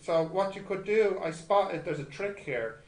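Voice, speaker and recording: A man's voice: high-pitched, animated, measured pace, a little mellow. A man tutoring maths informally, thinking aloud as he works sums, self-deprecating and often asking checking questions. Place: indoor tutorial room with students. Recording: echoey room with noticeable noise.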